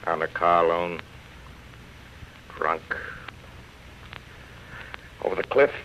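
A man's voice: low voice, level tone, extremely slow, bright, forceful tone, full character voice. An actor in a 1930s radio drama playing a proud, bitter, hard-drinking film star past his prime, theatrical and self-pitying. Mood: brooding, wistful. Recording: muffled, noisy 1930s radio broadcast.

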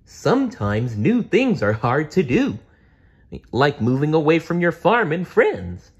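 A man reading a storybook aloud and voicing a cheerful, gentle purple dinosaur in a high, sing-song, giggly voice. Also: wildly swooping pitch, measured pace, husky, heavily acted. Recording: dull lo-fi recording.

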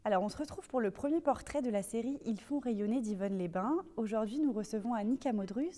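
A woman interviewer reading prepared questions in a brisk, clear manner. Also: a little high, dark tone. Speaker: interviewer for the town's video series. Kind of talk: scripted interview questions and voice-over.

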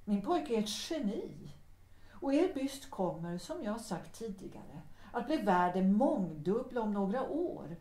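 A woman's voice: medium pitch, big pitch swings, mellow, lightly acted. A woman acting a proud, determined widowed mother in a Swedish audio drama, speaking in a firm, cool, formal way. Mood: proud, insistent. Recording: roomy, echoing sound.

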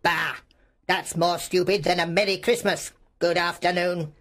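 A man's voice: high-pitched, flat delivery, measured pace, a bit bright, pitched above the performer's own voice. A man voicing a mean, cold old miser in a storybook, with a hard voice and a curt, snapping, scornful manner. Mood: scornful, irritated. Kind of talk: storybook audiobook character voice.

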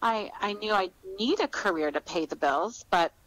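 A woman's voice: medium pitch, lively intonation, moderate pace, a bit bright, low-quality recording. A middle-aged woman answering interview questions conversationally, in a reflective, encouraging manner. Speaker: author, podcast guest.

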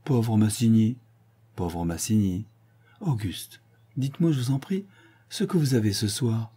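A man's voice: lowish voice, animated, unhurried, warm tone, husky. A man voicing a worldly young countess in a read-aloud novel, coquettish and lightly mocking. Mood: anxious.

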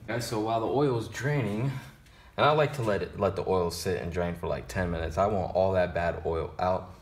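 A man's voice: sits low, normal speed. A man giving a casual step-by-step how-to while working on a car in a repair shop garage. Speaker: auto mechanic. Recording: echoey garage with faint noise.

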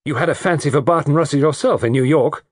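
Man doing a blunt, hot-tempered, defensive gentleman. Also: on the high side, fast talker, no echo, clean studio sound, pitched above the performer's own voice, close to an everyday voice. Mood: needling.

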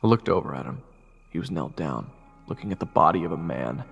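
A man's voice: a bass voice, animated, a little fast, creaky, a bit bright, breathy edge, muffled recording, full character voice. A man playing a curious, compassionate young army private who also narrates a fantasy audio drama. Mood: grave, narrating. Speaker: narrator and lead actor in an audio roleplay. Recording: reverberant, with music underneath.

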